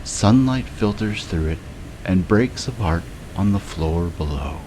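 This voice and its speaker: A man narrating a scripted guided meditation in a measured way, with long pauses between short phrases. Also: low voice, expressive, noisy background.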